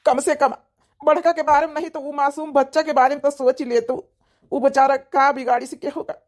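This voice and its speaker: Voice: high-pitched, little pitch movement, fairly quick, lightly acted. A performer voicing a furious, accusing village man in an Awadhi cartoon comedy, scolding in a melodramatic way. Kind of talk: dramatic comedy cartoon dubbing.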